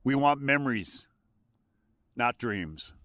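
An older man narrating a hike outdoors on a windy volcanic ridge, calmly explaining the landmarks around him. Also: mid-range voice, no room sound. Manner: unhurried, explanatory, pointing out landmarks. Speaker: hiking vlogger. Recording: faint wind noise.

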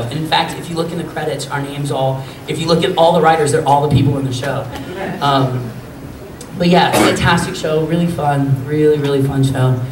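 A man with a high, boyish voice talks to a convention crowd in an animated, joking way. He sits at a table mic in a meeting room. The recording is roomy and noisy, picked up from a camera well back in the hall. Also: far from the mic. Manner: animated, jokey storytelling with bursts of laughter. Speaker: voice actor at a convention panel. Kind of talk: casual panel talk answering fan questions. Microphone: table mic on stand.

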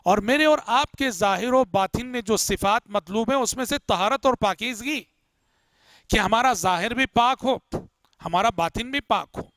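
A middle-aged man delivering a religious lecture, slowly reciting Arabic prayers and explaining them with emphatic repetition, recorded on a headset mic indoors. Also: extremely high pitch, fairly bright tone, no room sound. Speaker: Islamic lecturer. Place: indoor room with curtained window.

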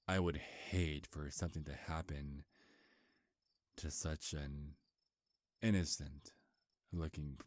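A man playing a charming, sly male vampire in an intimate ASMR roleplay, soft-spoken, flirtatious and hesitant, with deliberate delivery. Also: very deep voice, no echo, studio quality. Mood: coaxing. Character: charming, sly male vampire, centuries old, courting prey. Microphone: close, dry microphone.

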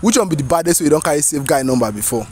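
A man in his twenties with a Nigerian accent, teasing and animated as he hosts a casual street interview. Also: on the high side, very fast, faint music behind. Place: outdoors on a park path.

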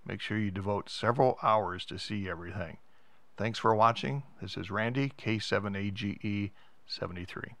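A man's voice: on the low side, normal speed, fairly bright tone, no room sound. A middle-aged American man giving a casual narrated tour as a vlog host.

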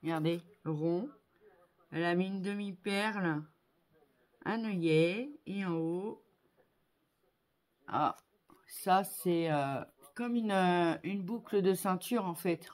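A woman in her fifties chatting casually to viewers as a craft vlogger, effusive and appreciative, exclaiming often and repeating words haltingly, recorded on a phone indoors at home. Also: fairly low pitch, darkish tone. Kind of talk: casual unboxing chat to viewers. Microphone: phone on a tripod at arm's length.

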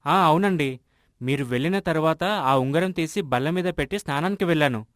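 A man playing a polite, earnest village man in an animated moral story. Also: a little high, fairly quick, dry recording, studio quality, close to an everyday voice.

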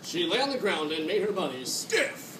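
A woman's voice: lowish voice, big pitch swings, moderate pace. A young woman narrating a children's story on stage with theatrical emphasis. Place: classroom, recorded by a far camera. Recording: echoey classroom from far off, noisy.

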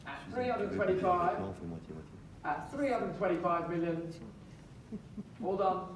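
Far-off auctioneer calling bids in an echoey room. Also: male voice, sits high, slight background noise. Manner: brisk, repetitive calling of bids with light joking asides. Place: large auction sale room, heard from the audience. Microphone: far phone camera in the crowd. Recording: distant echoey sale room from a phone in the crowd.

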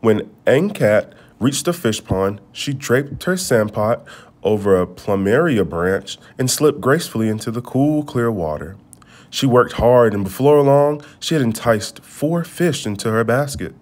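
A man reading a children's storybook aloud, with measured, expressive phrasing. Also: medium pitch. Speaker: storybook reader. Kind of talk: read-aloud storybook narration.

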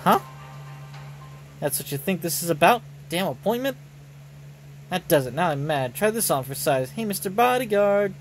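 A man's voice: high voice, expressive, moderate pace, long pauses, faint music behind. A man voicing a brash, hot-tempered young woman fighter from a game. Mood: indignant. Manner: brash, blustering.

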